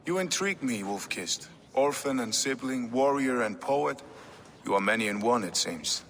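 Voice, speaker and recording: An actor playing a shrewd, composed Viking king who speaks formally and with measured command in a dramatic game cutscene. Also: mid-range voice, lively intonation, a bit bright, slight background noise. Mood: courting, gracious. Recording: long hall reverb with music behind.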